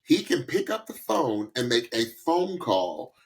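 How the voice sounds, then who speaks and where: A man's voice: medium pitch, big pitch swings, unhurried. A man co-hosting a podcast with casual, emphatic reaction commentary, repeating phrases for effect. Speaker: podcast co-host.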